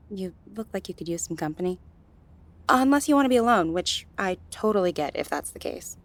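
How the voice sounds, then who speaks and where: A woman's voice: lowish voice, gravelly. A woman playing a friendly, flirtatious gallery worker in an intimate audio roleplay, soothing and teasing as she talks straight to the listener. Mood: friendly, relaxed.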